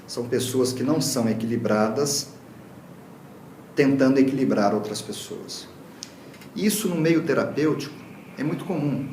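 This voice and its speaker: A man's voice: mid-range voice, moderate pace, long pauses, darkish tone, slight background noise. Brazilian man lecturing in an echoey room. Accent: Brazilian Portuguese. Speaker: lecturer, Reiki teacher. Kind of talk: public talk.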